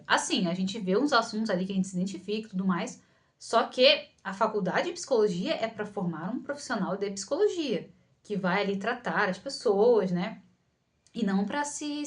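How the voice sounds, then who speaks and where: A young adult Brazilian woman with a Carioca accent, answering followers' questions in an informal, conversational way, often checking in with listeners. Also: medium pitch. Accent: Carioca Brazilian Portuguese. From Rio de Janeiro, Brazil. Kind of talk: casual talk to camera, Q&A.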